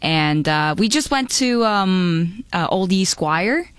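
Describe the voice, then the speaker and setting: A young woman whose first language is Romanian chats on a radio show. She speaks in a slightly low voice, relaxed and a little halting, and she laughs easily. The sound is close and dry. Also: clean tone, dry recording. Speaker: radio promotions assistant and co-host. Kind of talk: casual on-air chat.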